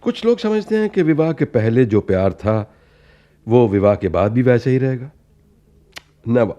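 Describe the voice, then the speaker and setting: Actor as slow, expressive middle-aged director. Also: male voice, medium pitch, natural voice. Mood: resigned, defensive. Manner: deliberate, sprinkles English words into speech. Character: renowned male theatre director and teacher, self-absorbed, avuncular. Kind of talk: radio play acting.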